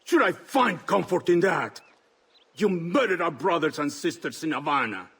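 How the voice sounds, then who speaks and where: A man playing the stern, solemn leader of an assassin order in a video game, formal and measured. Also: a little high, animated, a bit bright, breathy edge, full character voice. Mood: stern, accusing. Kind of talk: dramatic video game acting.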